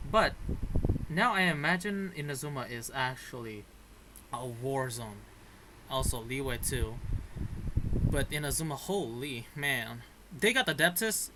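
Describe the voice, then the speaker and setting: A male gaming streamer with a middle-pitched voice talks casually over a game. He thinks aloud in halting bursts. The recording has noticeable noise and clicks. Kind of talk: casual game reaction commentary.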